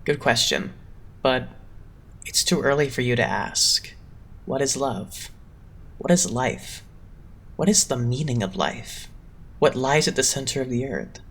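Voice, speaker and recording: A man acting a poised, condescending young idol leader in an anime-style dub, measured and theatrical. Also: medium pitch, a bit bright.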